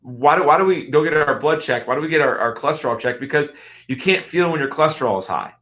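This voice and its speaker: A man's voice: a little high, expressive, fast talker, a bit bright. A middle-aged man, hosting a health radio show as a chiropractor, talking earnestly and emphatically with frequent restarts and rhetorical questions. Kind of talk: health talk radio monologue.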